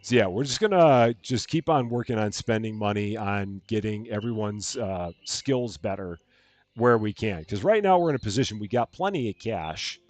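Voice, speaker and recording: A man with a calm, soothing voice, casually talking through a game on a live stream and thinking aloud as he plays. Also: on the low side, moderate pace, no echo. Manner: soft-spoken, rambling, thinking aloud.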